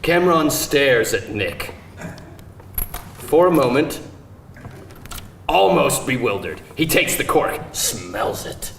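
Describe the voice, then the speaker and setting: A man's voice: mid-range voice, very animated, slow, gravelly, long pauses, fairly bright tone. A man reading stage directions as scripted narration at a live table read. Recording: distant mic, roomy venue, faint noise.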